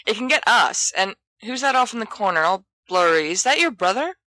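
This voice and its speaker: A woman's voice: mid-range voice, very animated, brisk, pushed and bright, no echo, voice pushed up, poor audio. A woman voicing a confident, bossy teenage schoolboy who thinks aloud casually in an audiobook story. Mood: casual musing. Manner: casual, half-finished musing sentences.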